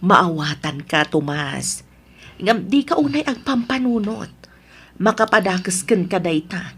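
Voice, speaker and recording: An actress plays a caring old wife and mother in a radio drama. Her husky voice sits at a middling pitch, and she comes across as fretful and devoted. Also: expressive, measured pace. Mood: gently worried. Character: worried, caring elderly wife and mother of grown children.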